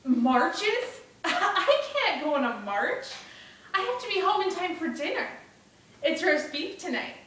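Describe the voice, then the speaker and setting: A woman's voice: extremely high pitch, sing-song, slow pace, a bit bright, voice pushed up, full character voice. A woman voicing a naive, impatient young boy in an earnest, emphatic dramatic storytelling performance. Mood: puzzled, indignant. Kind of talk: dramatic storytelling for speech competition. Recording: echoey room, distant mic, faint noise.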